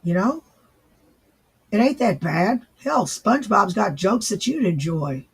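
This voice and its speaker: A man with a hoarse, raspy voice from laryngitis, rambling and swearing in a casual vlog reply. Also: sits high, expressive, unhurried. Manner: rambling, profane, folksy.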